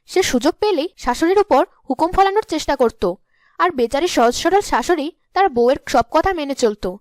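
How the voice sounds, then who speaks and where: Very high-pitched woman storyteller. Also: expressive, moderate pace, no echo, studio quality. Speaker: narrator. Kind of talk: scripted cartoon story narration.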